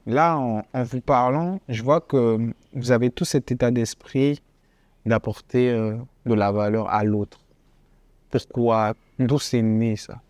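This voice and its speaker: A man's voice: mid-range voice, fairly even intonation, takes it slowly, darkish tone, no room sound. A man hosting an informal conversation, prompting his guests with short, casual questions. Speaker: podcast host. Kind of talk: casual interviewing. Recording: music behind. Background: music.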